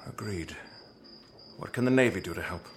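Solemn navy secretary, faint crickets. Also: male voice, lowish voice, normal speed, vocal fry, slight background noise. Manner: solemn, old-fashioned formal phrasing, emotive. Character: anguished, indignant male Navy Secretary in 1865, formal. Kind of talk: historical audio drama acting.

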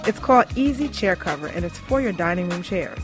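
A woman delivering a scripted radio advert as a conversational sales pitch. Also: animated, fairly quick, over music.

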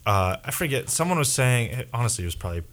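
A man's voice: mid-range voice, very animated, fast talker, fairly bright tone. A man hosting a casual sports chat, rambling loosely and leaning heavily on filler words. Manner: rambling, heavy on filler words, trails off mid-thought. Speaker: podcast host.

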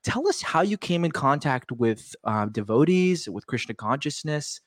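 A man hosting a podcast, chatty and upbeat, quick with interjections. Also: a little high, lively intonation, dry recording, studio quality. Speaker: podcast host.

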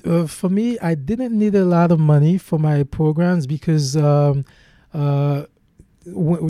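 A man's voice: medium pitch, dark tone, dry recording. A young man with a Haitian accent, a guest speaking earnestly in an interview, often restarting his sentences. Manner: earnest, many restarts and fillers. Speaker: guest, program founder.

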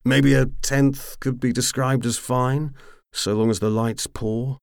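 A man's voice: mid-range voice, fairly even intonation, takes it slowly, vocal fry, clean tone, no room sound, clean studio sound, voice pushed up. A man voicing a tipsy, roguish mercenary commander in an audiobook, drawling and rambling with boastful charm. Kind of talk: audiobook character acting.

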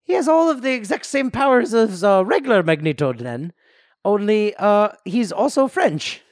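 Man playing Irish superhero, very high voice, animated pitch, Irish accent, boastful, chatty, breezy, improvised comedy podcast. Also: moderate pace, no room sound, studio quality. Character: eager, boastful Irish superhero speedster, male.

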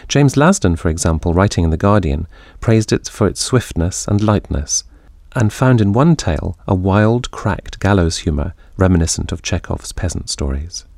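A man's voice: low voice, lively intonation, normal speed, few pauses, a little mellow. A man hosting an interview who reads a scripted introduction, then asks thoughtful questions, stumbling and repeating words as he asks them. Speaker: interviewer host.

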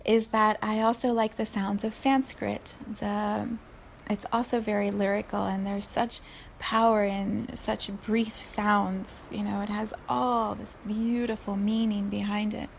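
Reflective woman in her forties, gravelly voice. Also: mid-range voice, little pitch movement, a little hiss or hum. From South Africa. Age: 40s. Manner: unhurried, thoughtful, laughs softly at herself. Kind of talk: reflective solo talk.

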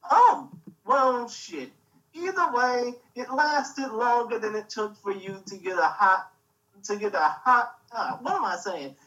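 A man's voice: very high-pitched, animated, unhurried, bright, forceful tone, far from the mic, lo-fi sound. A man co-hosting a casual podcast chat, swearing freely with dry teasing. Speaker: podcast co-host.

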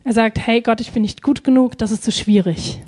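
A woman's voice: fairly high pitch, fairly even intonation, fairly quick, a little mellow. A woman interpreting a sermon live, phrase by phrase, hesitating and feeling for words with frequent ums.

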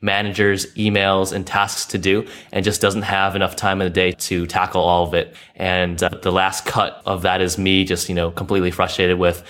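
A man in casual conversation, explaining things in a measured way with frequent fillers. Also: sits low, monotone, fairly bright tone. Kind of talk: casual chat.

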